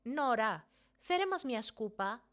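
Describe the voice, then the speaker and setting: A woman voicing a quick-witted, bossy young girl, an older sister, with an animated, insistent manner in a storybook. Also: on the high side.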